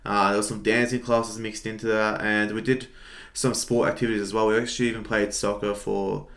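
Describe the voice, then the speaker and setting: A man's voice: on the low side, flat delivery, fairly quick, fairly bright tone. A young man from Melbourne with an Australian accent, chatting casually and dryly as a podcast co-host.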